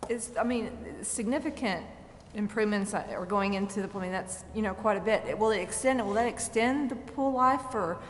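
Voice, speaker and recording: A woman's voice: medium pitch, normal speed. Woman questioning in a reverberant hall. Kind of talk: informal questioning at a public meeting. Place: indoor council chamber. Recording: reverberant hall, faint background noise.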